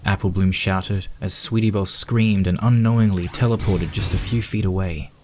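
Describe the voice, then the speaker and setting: A man's voice: low-pitched, steady tone, normal speed, a little mellow, a little hiss or hum. A man reading scripted story narration as the narrator of a piece of fan fiction.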